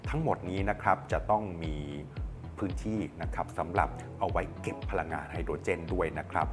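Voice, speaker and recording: A man, presenting a news report in a conversational, explanatory way on a lapel mic in a studio. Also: low-pitched. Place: small indoor studio at a desk. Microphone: clip-on lapel mic. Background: background music.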